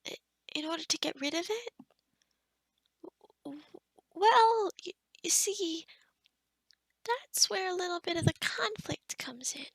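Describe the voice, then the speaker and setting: A woman's voice: very high voice, expressive, unhurried, pauses often, fairly bright tone, dry recording, full character voice. A woman playing a kind, flustered young-sounding forest witch in an ASMR roleplay, stammering and often dropping to a whisper. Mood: gentle, explaining. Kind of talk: ASMR roleplay addressed to the listener.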